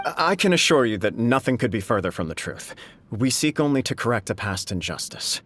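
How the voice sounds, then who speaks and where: A man playing a dignified shogunate official in a video game, speaking in a measured, diplomatic, formal way. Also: medium pitch, very animated, fairly bright tone, slight background noise. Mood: diplomatic, persuasive.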